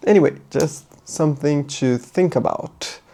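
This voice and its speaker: A man's voice: on the high side, a little slow, gravelly, mellow. A man whose first language is Portuguese, giving a casual tutorial and often checking in with "right?". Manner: thinking aloud, muttering while writing; loose and chatty, asks "right?". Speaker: language teacher.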